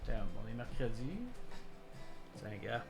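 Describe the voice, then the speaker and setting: A man in his forties chatting casually as a live streamer, halting and repeating words as he rambles. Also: mid-range voice, over loud music. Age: 40s. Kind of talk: casual chat with stream viewers.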